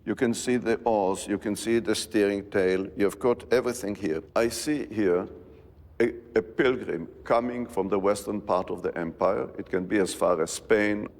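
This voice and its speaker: A man's voice: lowish voice, moderate pace, darkish tone. Man explaining in an echoing chapel. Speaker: expert guide. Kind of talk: explaining an inscription on site.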